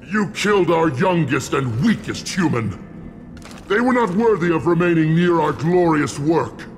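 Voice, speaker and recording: An actor voicing a proud, bloodthirsty alien warlord in a video game, making menacing, bombastic speeches. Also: male voice, fairly high pitch, animated, unhurried, soft background music. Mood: furious, vengeful. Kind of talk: dramatic video game acting.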